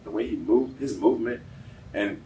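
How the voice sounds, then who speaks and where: A man's voice: lowish voice, normal speed, dark tone. A man in his thirties, a coach chatting as a guest in casual slang, often saying bro. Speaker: football coach and trainer, guest. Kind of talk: casual interview chat. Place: indoor gym, podcast set. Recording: echoey, noisy gym, distant mic.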